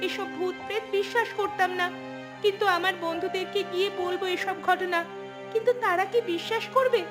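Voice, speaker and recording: A woman playing an excitable young city-educated daughter in a cartoon. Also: extremely high pitch, brisk, music in the background. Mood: alarmed, urgent. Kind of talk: Bengali cartoon voice acting.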